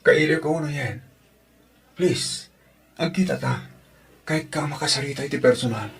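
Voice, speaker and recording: A man's voice: a little high, moderate pace, a little hiss or hum, lightly acted. A man with an Ilocano Filipino accent playing a pleading male suitor in an audio drama.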